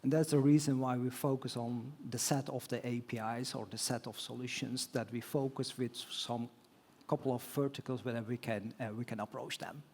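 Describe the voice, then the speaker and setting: A man's voice: medium pitch, fairly even intonation, moderate pace, few pauses, a little mellow, breathy edge. A man speaking as a guest on a conference stage panel, answering with frequent fillers, restarts and non-native phrasing. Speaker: telecom executive guest on panel.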